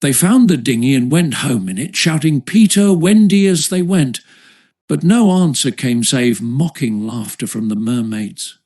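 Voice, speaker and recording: A man's voice: mid-range voice, animated, a little slow, darkish tone. A man narrates a children's adventure audiobook in a mid-range voice. He reads literary prose as a storyteller would, following a written script. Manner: storytelling, literary read-aloud delivery.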